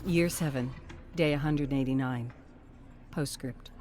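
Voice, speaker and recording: An actress playing a composed expedition leader, reading a game journal in a measured, formal, matter-of-fact way. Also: female voice, a bass voice, darkish tone. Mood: composed, reflective. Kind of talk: scripted dramatic journal narration. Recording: music behind the voice. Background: music.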